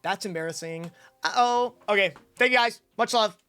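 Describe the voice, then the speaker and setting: A woman's voice: mid-range voice, normal speed, a bit bright, smooth. A young American woman streaming a game, chatting casually and playfully in slang as she reacts to the story and her chat. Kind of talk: casual livestream commentary. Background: game music.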